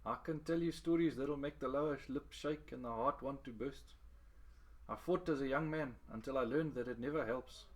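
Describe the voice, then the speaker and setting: A man voicing a gentle, wise older fisherman with a South African Cape accent, speaking kindly and earnestly. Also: mid-range voice, moderate pace, pitched above the performer's own voice, natural voice.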